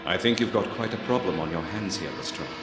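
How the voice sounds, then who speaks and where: A man playing a low-voiced, self-assured detective. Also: quick pace, lightly acted. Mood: grave, wry. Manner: precise, declarative, theatrical deduction. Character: keen, self-assured male Victorian detective, coolly analytical. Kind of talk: dramatic film dialogue. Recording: reverberant hall sound with music underneath.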